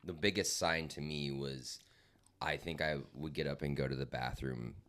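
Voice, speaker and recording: A man's voice: extremely low pitch, normal speed. A man joking around as a guest on a casual podcast. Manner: joking, playful, relaxed.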